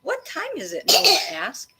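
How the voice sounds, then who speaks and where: A woman teacher reading a storybook aloud to children expressively, pausing to explain and prompt her listeners. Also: very high voice, huge pitch swings. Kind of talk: interactive children's read-aloud of a storybook, with questions and asides. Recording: faint background noise.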